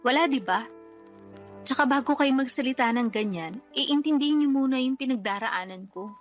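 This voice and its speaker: A woman's voice: sits high, normal speed, lightly acted. A woman playing a worn-out young single mother. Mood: weary. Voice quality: weary, complaining, defensive. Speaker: the letter sender in the scene. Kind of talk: Tagalog radio drama acting. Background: faint background music.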